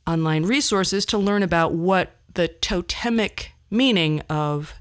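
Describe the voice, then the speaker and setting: A woman with a slightly low voice hosts a solo podcast. She tells personal stories in a casual, chatty way. She rambles off into wry asides as she goes. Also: measured pace. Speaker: podcast host.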